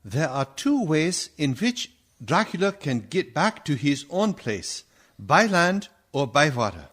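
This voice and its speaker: An actor in a radio play voicing an anguished, commanding older vampire hunter with a husky voice. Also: male voice, on the high side, big pitch swings, takes it slowly, a bit bright, exaggerated voice. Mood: resolute.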